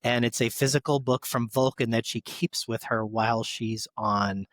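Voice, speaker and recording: A man with an average-pitched voice co-hosts a podcast in casual conversation. He explains things informally, and the sound is dry, with no room echo. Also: fairly even intonation, normal speed, fairly bright tone. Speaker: podcast co-host.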